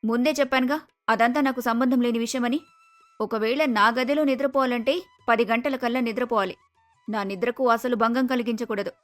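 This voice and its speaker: A woman's voice: a little high, steady tone, brisk, soft background music, lightly acted. A woman voicing an arrogant, spoiled young adult daughter in a cartoon moral story, with a haughty, bossy manner. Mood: haughty, harsh.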